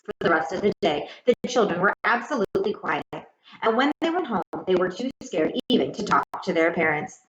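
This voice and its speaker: A woman narrating a children's story aloud in an expressive, dramatic, carefully enunciated way. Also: medium pitch, brisk, a little hiss or hum. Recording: echoey room, slightly muffled sound.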